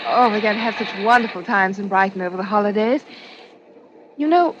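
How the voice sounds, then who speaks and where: A woman's voice: medium pitch, fairly quick, natural voice. An actress playing an eager, trusting young woman in an old radio drama, chatty, polite and girlish. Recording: dull, hissy old radio recording.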